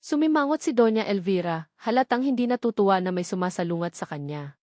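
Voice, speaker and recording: A woman reading a scripted dramatic story as narrator, in a measured storytelling delivery. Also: mid-range voice, expressive, a little mellow, no room sound, studio quality.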